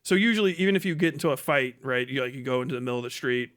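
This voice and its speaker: A man's voice: mid-range voice, racing, fairly bright tone. A man ranting and telling stories casually on a live stream, blunt and swearing freely. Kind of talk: casual, profane ranting and storytelling.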